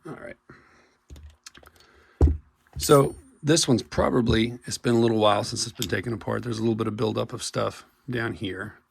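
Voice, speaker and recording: Guy with a cold, husky scratchy voice, talking thru a fix with lots of ums and restarts. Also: fairly low pitch, no room sound. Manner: casual, halting explanation with many fillers and restarts. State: hoarse from a cold. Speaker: paintball marker technician. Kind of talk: hands-on repair tutorial.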